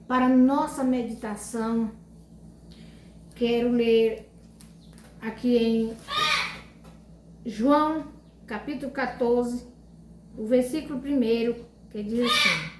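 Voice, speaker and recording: An older woman giving a religious testimony, speaking slowly and fervently, with pauses and repetitions. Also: on the high side. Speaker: lay preacher giving testimony. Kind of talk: informal religious testimony and Bible reading. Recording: echoey room, faint ambient noise.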